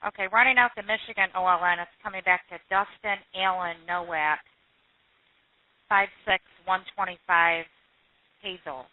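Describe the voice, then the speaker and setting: A woman's voice: fairly low pitch, fairly even intonation, moderate pace, no room sound. A woman working as a police dispatcher, talking in terse, businesslike radio procedure. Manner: clipped, businesslike. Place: dispatch room, recorded over phone and radio lines. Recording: narrow muffled phone and radio line.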